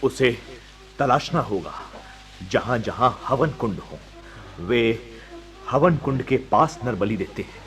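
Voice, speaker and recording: A man's voice: medium pitch, expressive, slow pace, long pauses, fairly bright tone, soft background music. A man playing a righteous monastic teacher, solemn and preaching in a radio drama. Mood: grave. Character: righteous male monastic teacher, stern and moralising. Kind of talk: Hindi radio drama acting.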